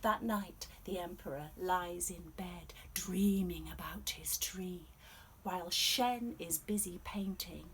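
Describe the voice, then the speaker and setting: A woman reading a children's storybook expressively as a storyteller, dramatic and varied, with hushed passages. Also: medium pitch, slight background noise.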